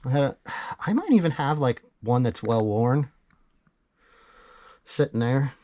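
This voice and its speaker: A man's voice: mid-range voice, big pitch swings, unhurried, dark tone, dull audio. A man in casual, plainspoken conversation as a vlog host, sounding amazed. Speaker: vlog host visiting a radio collector. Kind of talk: casual chat. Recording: clear ambient and vehicle noise.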